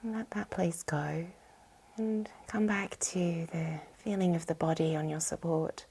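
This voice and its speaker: A woman with a soft, breathy, whispery voice, soothingly guiding a yoga nidra relaxation with gentle instructions. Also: on the low side, fairly even intonation, a little slow. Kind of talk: guided relaxation meditation.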